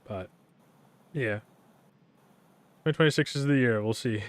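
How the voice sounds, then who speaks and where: A young man streaming a game, talking in a casual, rambling way with a monotone delivery, full of fillers and restarts. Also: mid-range voice, measured pace, no room sound. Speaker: gaming streamer.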